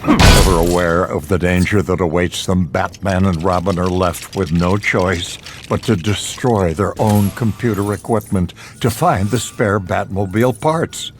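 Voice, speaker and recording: An actor playing a grandiose, theatrical narrator of a campy 1960s superhero show in a video game. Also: male voice, low-pitched, a little slow, noisy background. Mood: excited, urgent. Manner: theatrical, dramatic, alliterative.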